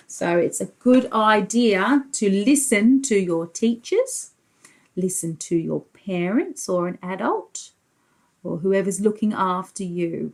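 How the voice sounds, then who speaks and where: A woman's voice: medium pitch, a little mellow. A woman preschool teacher reading a picture book aloud to young children, explaining things and asking simple leading questions.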